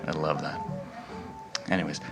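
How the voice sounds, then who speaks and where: A man giving an informal presentation on music, halting a little as he thinks his way through. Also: very deep voice, little pitch movement, vocal fry. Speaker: event presenter.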